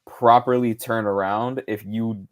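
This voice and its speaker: A young man co-hosting a podcast, telling a casual, slangy story with lots of swearing, his voice going hoarse. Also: on the low side, fairly even intonation, measured pace. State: losing his voice. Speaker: podcast co-host. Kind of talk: casual chat.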